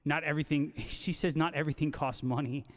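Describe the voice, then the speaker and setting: A man hosting a casual guitar livestream, reading out viewer comments and bantering with joking, slangy talk. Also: a little high. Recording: long hall-like reverb on voice.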